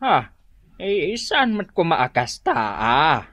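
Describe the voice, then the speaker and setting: Actor doing an indignant older tricycle guy, ilocano radio drama, dry studio sound, pitch jumps around. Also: very animated, slow, fairly bright tone, no room sound, heavily acted. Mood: indignant protest. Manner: protesting, emphatic. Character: indignant older male tricycle driver, Tata Marciano.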